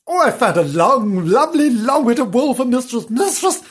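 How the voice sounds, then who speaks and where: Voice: mid-range voice, sing-song, moderate pace, slightly rough, pitched above the performer's own voice. A storyteller voicing Frank, a fussy male nursery animal who is eager to please and speaks in a fretful way.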